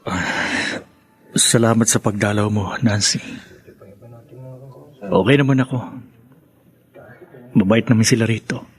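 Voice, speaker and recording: An actor playing an ashamed, downcast older brother in jail in a Filipino radio drama. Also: male voice, fairly low pitch, slow pace, long pauses. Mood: downcast, embarrassed.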